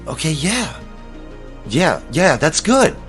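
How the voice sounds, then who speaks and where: A man's voice: a little high, big pitch swings, takes it slowly, a bit bright, faint music behind, exaggerated voice. An actor playing an anxious, determined small-town man in an audio drama, speaking earnestly and persuasively. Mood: resolved.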